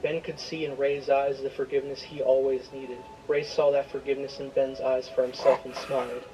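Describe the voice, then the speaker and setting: A man's voice: medium pitch, flat delivery, fairly quick, warm tone, a little hiss or hum, low-quality recording. A man reading a scripted, dramatic story as its narrator. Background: prominent music.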